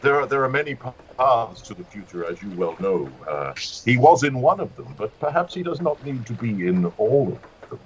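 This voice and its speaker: A man chatting as a player in a tabletop game, playful and quick. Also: medium pitch, big pitch swings, dull audio, slight background noise. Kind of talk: casual game chat.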